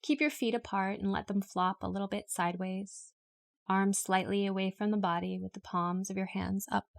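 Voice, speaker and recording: Female meditation guide, mid pitch, flat and steady intonation, calm unhurried scripted instruction. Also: gravelly. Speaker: yoga nidra meditation guide.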